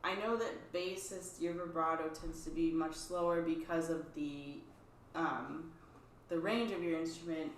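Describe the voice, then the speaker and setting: A woman's voice: low voice, steady tone, a little slow, a little hiss or hum. A woman co-hosting a casual chat, explaining string technique in an easygoing way. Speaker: co-host, string teacher. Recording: echoey room, distant mic.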